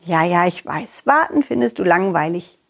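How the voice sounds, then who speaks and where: A woman voicing a kind, loyal, confident dog in a children's story, musing gently and simply. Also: fairly low pitch, lively intonation, normal speed, vocal fry, pitched below the performer's own voice. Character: confident, loyal, kind dog hero reassuring his friend.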